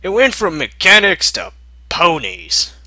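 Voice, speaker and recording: A man narrates a fan story at an unhurried pace with a sarcastic edge. His voice is bright and forceful, and the recording is dry. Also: high voice, huge pitch swings, dry recording. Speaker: fanfiction reader.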